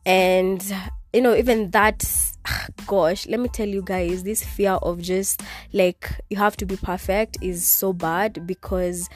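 A woman's voice: medium pitch, steady tone, slow, soft background music. A young Kenyan woman whose first language is Kikuyu hosts a casual solo podcast from her bed. She records on her phone and rambles, filling her talk with "like" and slipping in Swahili words. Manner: rambling, heavy use of "like", mixing in Swahili words. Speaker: podcast host.

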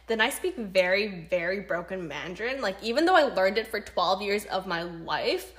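A woman's voice: medium pitch, animated, moderate pace, a bit bright. A young Canadian woman with a mid-range voice. She speaks as a casual podcast co-host, chatty and playful, with a self-deprecating streak. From Canada, born and raised in Vancouver. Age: young adult.

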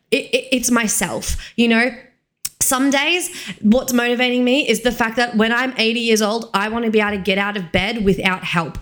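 A woman in her twenties with an Australian accent, talking casually and candidly on a solo podcast, repeating phrases for emphasis. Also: medium pitch. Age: 20s. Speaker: podcast host, fitness coach.

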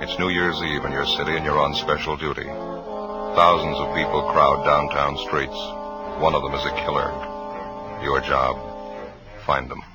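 A man reading solemn, deliberate scripted narration for a 1950s radio crime program. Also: very deep voice, flat delivery. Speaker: announcer. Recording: vintage radio recording, loud music.

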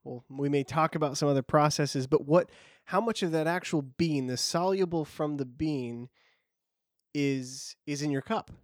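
A man hosts a casual podcast in a fairly high voice, friendly and often halting to restart his sentences. The sound is dry and close, with no room around it. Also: no room sound. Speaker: podcast host.

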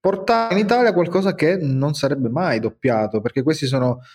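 A man's voice: fairly high pitch, moderate pace, a little mellow. A man hosting a casual chat show, with music playing behind his voice. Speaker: host.

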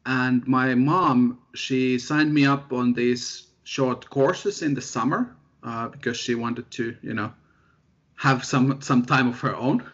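Relaxed young man from Finland, lo-fi. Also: medium pitch, slow. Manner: relaxed, self-deprecating, many fillers. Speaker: guest researcher. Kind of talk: casual interview chat. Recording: dull lo-fi sound.

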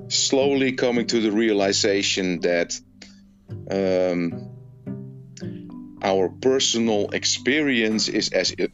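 A man's voice: lowish voice, highs cut off. A man in his fifties, English not his first language, answering interview questions hesitantly while searching for words. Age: 50s. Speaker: author guest. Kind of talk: casual interview.

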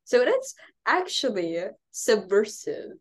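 A woman's voice: fairly high pitch, wildly swooping pitch, a little slow. A young woman co-hosting a podcast, chatting casually with lots of fillers and restarts, sounding congested. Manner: rambling, many fillers and restarts, laughs often. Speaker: podcast co-host. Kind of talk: casual chat.

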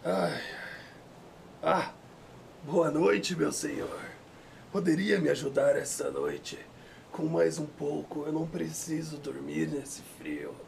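Man acting a creaky, swooping, weary beggar. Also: fairly high pitch, sing-song, slow, darkish tone, slight background noise, exaggerated voice. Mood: weary pleading. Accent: Brazilian. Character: weary homeless beggar, humble and polite. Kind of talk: student radio drama acting.

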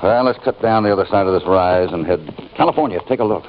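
Male actor as steadfast Western hero, American, deep bass voice, measured and authoritative, faintly hissy radio drama recording. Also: natural voice. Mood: thoughtful. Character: steady, principled middle-aged cowboy hero. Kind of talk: old-time radio western acting. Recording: muffled, noisy old radio recording.

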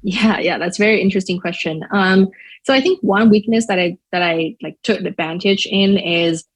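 A woman from Shanghai with a Chinese accent, answering interview questions thoughtfully and filling pauses with "um" and "like". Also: mid-range voice, slightly rough. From Shanghai, China. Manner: thoughtful, many fillers like "um" and "like". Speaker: podcast guest.

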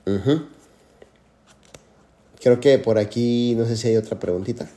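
A man's voice: mid-range voice, unhurried, mellow. A man mentoring on a group call, earnest and full of "este" fillers. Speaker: mentor answering questions.

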